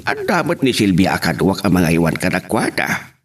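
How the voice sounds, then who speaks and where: A man's voice: low voice, very animated, brisk. A man acting a caring, plaintive elderly father in an Ilocano family audio drama. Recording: slightly far from the mic.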